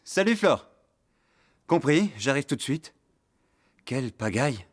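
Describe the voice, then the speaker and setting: An actor playing a keen, easily exasperated farmhand, brisk and exclamatory, in a children's cartoon. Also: male voice, mid-range voice, sing-song. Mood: eager, flustered. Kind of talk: children's cartoon dub acting.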